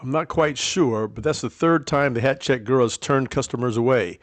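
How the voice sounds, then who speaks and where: Man playing an understated British gentleman sidekick, dry-sounding recording. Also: medium pitch, normal speed, no echo, lightly acted. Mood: watchful. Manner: understated, polite, terse. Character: unflappable, clipped English gentleman sidekick named Reggie. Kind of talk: 1940s radio drama acting.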